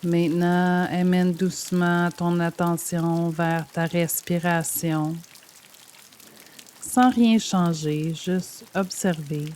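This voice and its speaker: A woman guiding a yoga nidra meditation in a gentle, soothing, measured way, leaving pauses between phrases. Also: fairly low pitch, slight background noise. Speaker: meditation guide. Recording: steady rain sound over the voice. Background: rain sound.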